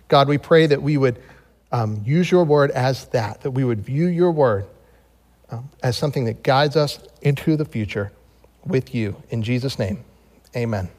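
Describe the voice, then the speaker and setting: A man's voice: mid-range voice, darkish tone. An American man preaching as a pastor, moving between scripture reading and personal storytelling. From United States. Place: large reverberant room, speaking to a congregation. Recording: long hall reverb.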